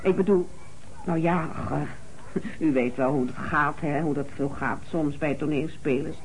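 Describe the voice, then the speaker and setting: Defensive chatty housekeeper, emphatic, faltering, radio drama, faintly noisy old recording. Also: female voice, low-pitched, fairly even intonation, measured pace. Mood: flustered. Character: talkative, defensive housekeeper, fond of the suspect. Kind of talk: Dutch radio play dialogue.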